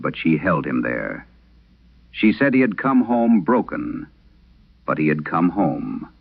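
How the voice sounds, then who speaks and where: Man playing a very deep, grave old priest. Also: vocal fry, narrow, tinny audio, full character voice. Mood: solemn, haunted. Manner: grave, measured, dramatic pauses. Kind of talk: solemn first-person horror radio storytelling. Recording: band-limited vintage radio sound.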